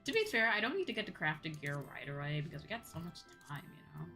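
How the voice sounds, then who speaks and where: A woman's voice: sits low, huge pitch swings, fast, music in the background. A woman livestreamer chatting casually to her viewers as she plays, informal and rambling, often repeating herself. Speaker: livestreamer and host.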